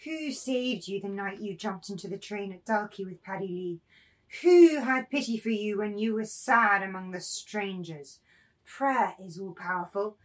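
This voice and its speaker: A woman's voice: mid-range voice, close to an everyday voice. A woman acts out the ghost of a dead mother in a literary drama reading. She is solemn and fervent, exhorting and pleading piously, with menace beneath the devotion.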